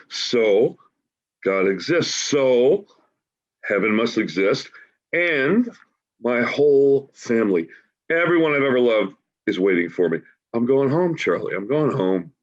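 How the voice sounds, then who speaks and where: An actor playing an upbeat homeless man who welcomes his death, talking colloquially and emphatically in a staged play reading. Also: medium pitch. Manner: colloquial, emphatic, matter-of-fact about death. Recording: dull, lo-fi video call audio.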